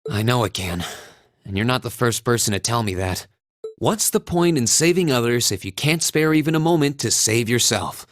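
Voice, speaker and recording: An actor playing an earnest, modest young student in a video game, mild and plain-spoken. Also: male voice, mid-range voice, expressive, normal speed, dry recording, clean studio sound, close to an everyday voice. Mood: reflective. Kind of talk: English video game voice acting.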